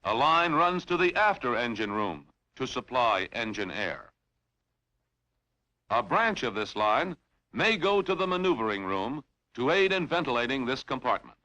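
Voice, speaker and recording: A man reading scripted instructional narration in a formal, measured, clearly enunciated way, as in a training film. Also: medium pitch, sing-song, pushed and bright.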